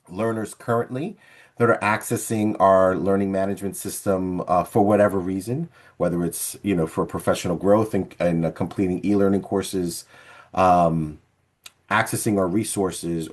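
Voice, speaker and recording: A man's voice: low-pitched, darkish tone. A man presenting an introduction to an organizational webinar over a video call.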